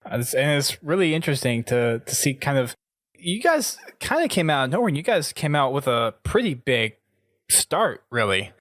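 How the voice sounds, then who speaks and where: A man hosting a casual podcast interview in a measured, conversational way. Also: mid-range voice, sing-song, fairly bright tone, dry recording, studio quality.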